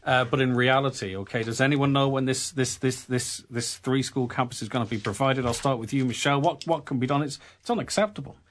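A man with a Donegal Irish accent hosting a radio candidates' panel, questioning briskly and pushing for answers. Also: medium pitch. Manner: brisk, pushy questioning with filler restarts. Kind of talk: live debate moderation.

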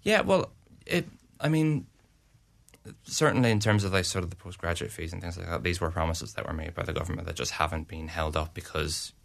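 A young Irish man with an extremely deep voice that has a gravelly fry. He answers questions in a halting way, restarting his sentences and using lots of fillers. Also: fairly bright tone. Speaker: student union representative. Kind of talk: radio interview answers.

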